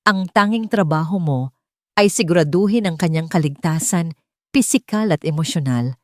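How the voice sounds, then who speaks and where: A woman's voice: sits low, lively intonation, moderate pace, no room sound, studio quality. A woman voicing a cold, commanding billionaire father in an audiobook, speaking curtly and directly. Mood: firm, direct. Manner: direct, quietly threatening, no shouting.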